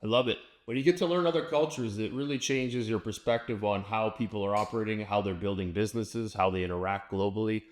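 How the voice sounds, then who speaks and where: A man's voice: on the low side, steady tone, fast, hardly any pauses. A man hosting an interview podcast, upbeat and enthusiastic, with frequent fillers and restarts. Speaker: podcast host. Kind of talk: casual interview chat.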